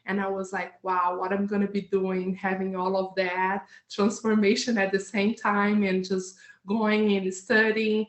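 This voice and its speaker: A woman whose first language is not English, a hypnotherapist guest talking in an earnest, halting way with many fillers and restarts over a video call. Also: mid-range voice, little pitch movement. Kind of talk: casual interview. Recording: roomy echo.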